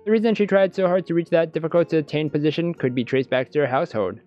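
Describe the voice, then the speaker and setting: A man's voice: fairly high pitch, fast. Dull-sounding man narrating a visual novel. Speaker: gaming YouTuber reading a visual novel aloud. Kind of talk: let's play narration with off-the-cuff reactions. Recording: dull lo-fi audio. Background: guitar background music.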